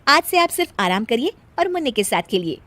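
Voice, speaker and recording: A woman voicing a harried young working daughter-in-law in a cartoon story, in an expressive way. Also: a little high, fairly quick. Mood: gentle, considerate. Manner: whiny, exasperated, expressive.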